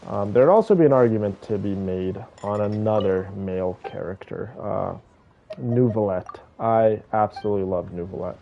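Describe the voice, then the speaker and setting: A man's voice: lowish voice, moderate pace, gravelly, lo-fi sound, a little hiss or hum. A man giving casual gameplay commentary, thinking aloud and talking to his viewers. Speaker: game streamer playing and commenting.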